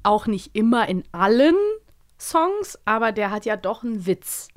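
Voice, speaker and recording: A woman's voice: mid-range voice, expressive, measured pace. A woman co-hosting an audio-drama podcast, chatting casually and often ending her sentences with a questioning "ne?". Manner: chatty, lots of "ne?" and fillers. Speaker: podcast co-host.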